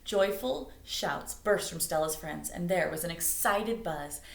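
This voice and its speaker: A woman's voice: fairly low pitch, a little slow, creaky. A woman reading a children's story aloud expressively as a storyteller, recorded in an echoey room. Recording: roomy, echoey indoor sound.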